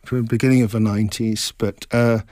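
A man's voice: lowish voice, little pitch movement, a little mellow, dry recording, studio quality. Reflective middle-aged man from Scotland. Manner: reflective, meandering, halting with many restarts. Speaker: author, interview guest. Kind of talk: casual interview chat.

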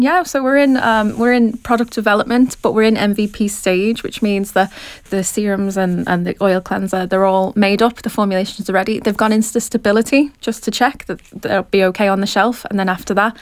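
A woman with a Liverpool accent in her thirties, talking about her business in an eager, chatty way and laughing easily. Her voice sits in a middle pitch and is recorded close and dry, with no room around it. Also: steady tone, brisk, no room sound. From Liverpool, England. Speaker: skincare business founder. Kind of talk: interview answers.

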